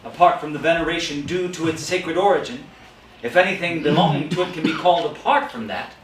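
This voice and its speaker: A man plays a good-natured young nephew from a storybook, heartfelt and eager in his speech. The voice sits fairly high and comes from a little way off in a very echoey room. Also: brisk, natural voice. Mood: earnest, heartfelt. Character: cheerful, warm-hearted young nephew, earnest and pleading. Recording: echoey, distant, noisy room recording.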